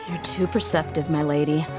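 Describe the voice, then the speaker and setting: A woman's voice: steady tone, measured pace, over loud music. A woman playing a tough, laid-back adult mercenary in an anime game dub, colloquial and loyal. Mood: guarded. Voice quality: low-pitched. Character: tough, easygoing adult female desert mercenary, loyal and guarded.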